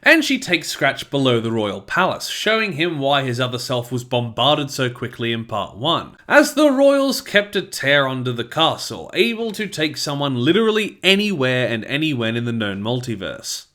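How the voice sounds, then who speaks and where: Animated male reviewer with lively pitch. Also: normal speed, hardly any pauses. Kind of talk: scripted review narration.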